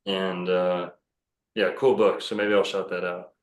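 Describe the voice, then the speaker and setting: A man's voice: deep voice, level tone, measured pace, echoey room. A man talking casually as a podcast guest, rambling and self-assured with many fillers and restarts. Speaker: podcast guest, bookstore owner.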